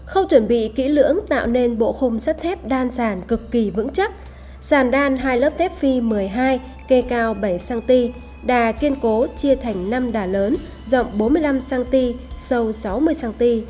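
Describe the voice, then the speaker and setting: A woman with a fairly high voice and a very soft, mellow tone narrates a promotional video. She reads from a script, and music plays clearly behind her. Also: normal speed. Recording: voice over background music.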